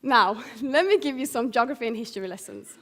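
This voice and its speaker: Teenage young woman, from Syria, high-pitched, earnest, public speaking. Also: expressive, brisk, a bit bright. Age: teens. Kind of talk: prepared public speech.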